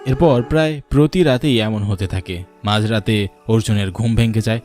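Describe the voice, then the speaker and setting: A man's voice: fairly low pitch, normal speed. A man narrating a scripted cartoon folk tale as a dramatic storyteller. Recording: music behind the voice.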